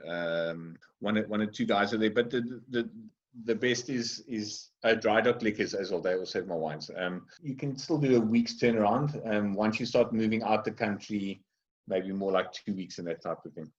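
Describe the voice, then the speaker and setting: A man with a fairly low voice answers questions in a halting way, often stumbling over repeated words. The audio sounds dull and lo-fi, like a video call. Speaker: wine trade guest. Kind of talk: casual interview answers.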